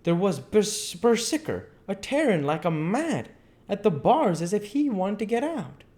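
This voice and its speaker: A man's voice: high voice, sing-song, measured pace, darkish tone. A man reading a classic novel aloud as an amateur narrator, stumbling and repeating phrases as he goes. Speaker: amateur audiobook reader.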